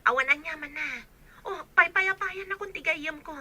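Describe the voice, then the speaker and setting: A woman playing a brisk, helpful young workmate in a radio drama, with an Ilocano accent. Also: extremely high pitch, pushed and bright, full character voice. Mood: reassuring.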